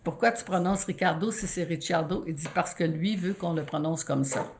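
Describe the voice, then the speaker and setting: A woman in her sixties with a Quebec French accent, a sports broadcaster telling stories in an animated interview, often restarting her sentences. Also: lowish voice, moderate pace, noisy background. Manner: animated, storytelling with many restarts. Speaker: guest, sports play-by-play announcer. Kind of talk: conversational interview.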